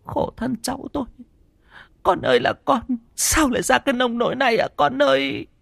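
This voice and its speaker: A man voicing a frantic, bossy old grandmother, shrill and scolding. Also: big pitch swings, fast talker, a bit bright, husky, no room sound. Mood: wailing.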